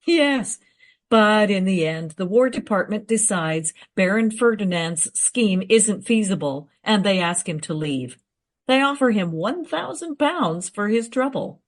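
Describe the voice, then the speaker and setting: Radio play researcher, female actor: knowledgeable, frank, brisk, very expressive pitch swings. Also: natural voice. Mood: amused. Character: well-informed, matter-of-fact female history researcher.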